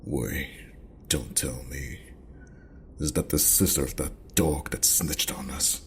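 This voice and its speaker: A man plays a menacing gangster stepbrother in an ASMR roleplay. The voice sits extremely low and crackles with gravel, drawling slowly as it mocks and taunts. There is some background noise. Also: fairly even intonation, full character voice. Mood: menacing, probing. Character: menacing, mocking gangster stepbrother, leering at a captive. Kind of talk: ASMR audio roleplay acting.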